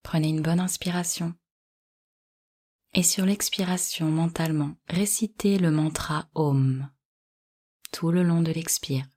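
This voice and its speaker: A woman guiding a yoga nidra relaxation in calm, measured short instructions. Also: deep voice. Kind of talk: guided relaxation meditation.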